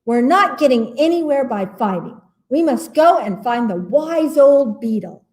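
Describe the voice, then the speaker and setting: A woman's voice: sits high, lively intonation, measured pace, darkish tone, pitched above the performer's own voice. A woman voicing an indignant, commanding frog from a pond in a storybook, speaking emphatically. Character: indignant, commanding chief frog and his pondmates.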